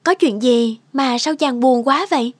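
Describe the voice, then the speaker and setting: A woman playing a gracious young enchanted queen in an animated fairy tale. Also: high voice, a little slow, in a large hall, slight background noise. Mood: tender. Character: gracious young queen under a snake curse. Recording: music behind the voice.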